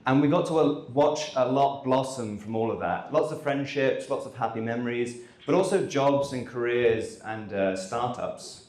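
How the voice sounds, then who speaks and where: A man's voice: mid-range voice, moderate pace, few pauses. Man hosting in an echoey hall. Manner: relaxed, conversational, with frequent ums. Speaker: conference host. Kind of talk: opening remarks on stage. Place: conference hall.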